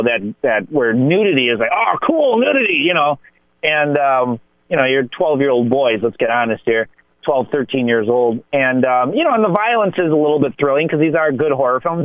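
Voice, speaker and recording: A man's voice: mid-range voice, dry recording, phone-quality sound. Rambling American man, dry radio sound. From United States. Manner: rambling, digressive storytelling. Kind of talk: casual reminiscing film talk.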